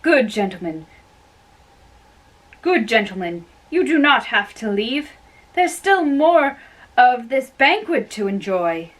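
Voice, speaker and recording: Woman playing courteous old banquet host, slow-paced. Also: on the high side, very animated, exaggerated voice. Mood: genial. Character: genial old lord hosting a banquet, winding down. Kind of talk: amateur dramatic reading of Shakespeare.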